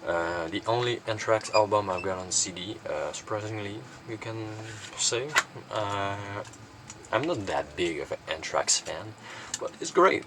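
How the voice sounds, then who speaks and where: A man's voice: on the low side, animated, measured pace, background noise. A man presenting his collection in a casual, unscripted show-and-tell, rambling and hesitant with lots of filler words.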